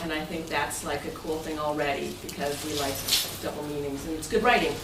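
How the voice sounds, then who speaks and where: A woman's voice: low voice, fairly even intonation, fairly quick. Chatty woman presenting in an echoey room. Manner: chatty and playful, jokes and invites audience answers. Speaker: club president giving an overview. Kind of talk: informal presentation to a club meeting. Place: meeting room, voice picked up at a distance. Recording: echoey noisy meeting room, distant mic.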